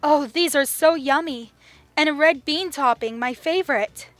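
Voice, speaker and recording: Gentle, cheerful young cartoon woman, female actor, high register, dry recording. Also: moderate pace, no echo, faint music behind. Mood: delighted. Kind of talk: cartoon comic dub.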